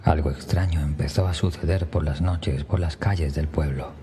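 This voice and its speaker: Very deep, dark-voiced man narrating horror. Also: fairly even intonation, moderate pace. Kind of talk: scripted first-person horror narration. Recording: music behind the voice. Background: background music.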